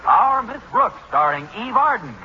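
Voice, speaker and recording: A man's voice: sits high, big pitch swings, normal speed, a little hiss or hum. A man announcing a 1940s radio comedy in a scripted, old-time announcer style. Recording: dull vintage radio recording. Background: music.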